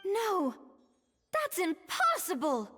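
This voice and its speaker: A woman's voice: very high voice, huge pitch swings, slow pace, fairly bright tone, exaggerated voice. A woman playing a proud, exacting young female chef in a video game, poised and precise. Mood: shocked.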